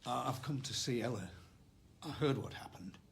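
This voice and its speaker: A man's voice: mid-range voice, expressive, normal speed, vocal fry, a little hiss or hum. A man acting in a radio drama as a polite, hesitant hospital visitor. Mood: polite, uneasy. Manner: hesitant, courteous.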